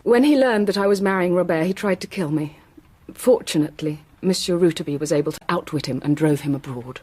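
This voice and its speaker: An actress as refined, low-voiced young lady. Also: quick pace, darkish tone, natural voice. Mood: rueful. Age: young adult. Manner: composed, rueful. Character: refined, vulnerable young lady recounting past betrayal. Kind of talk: period radio drama acting.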